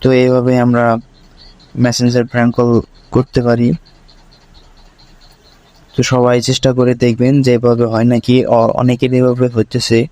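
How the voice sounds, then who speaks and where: A man walks through a tutorial one step at a time. His medium-pitched voice stays very even, with little melody. He repeats each step as he moves to the next, and the recording is dry, with no room sound. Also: moderate pace, long pauses, dry recording, rough recording. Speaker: tutorial presenter.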